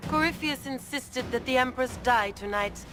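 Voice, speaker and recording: A woman's voice: sits high, normal speed, close to an everyday voice. A silkily cruel noblewoman villain from a fantasy game, voiced by an actress. She is aristocratic and theatrical, talking down to her listener with smug poise while music plays prominently behind her. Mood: gloating, cruel. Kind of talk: dramatic video game acting.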